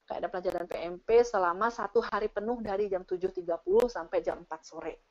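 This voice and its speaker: A woman giving casual, animated explanations as she answers audience questions on an online call. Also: mid-range voice, fast talker. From Indonesia. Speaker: teacher and speaker sharing her experience. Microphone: online video call. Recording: dull, lo-fi online meeting audio.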